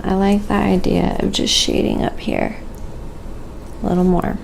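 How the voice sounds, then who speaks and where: A soft-spoken, sometimes whispery woman chatting casually on an ASMR painting livestream, musing aloud in an unhurried way. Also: mid-range voice, huge pitch swings, creaky, a little hiss or hum.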